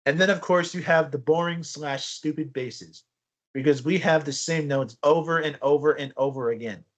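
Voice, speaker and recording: Young male podcast co-host, slightly high voice, chatty and rambling. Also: moderate pace. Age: young adult. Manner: rambling, restarts often, animated storytelling. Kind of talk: casual chat.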